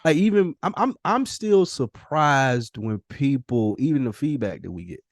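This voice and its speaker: A man's voice: medium pitch, animated, moderate pace, dry recording, clean studio sound. A man talking casually and candidly as a podcast co-host, reflective and confessional, with slangy, profane, emphatic phrasing. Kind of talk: casual, candid personal conversation.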